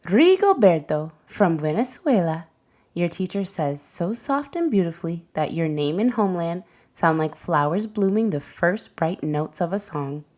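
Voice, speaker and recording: A woman reading a children's picture book aloud as a storyteller, with gentle, deliberate phrasing for young listeners. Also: lowish voice, animated. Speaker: read-aloud host and storyteller.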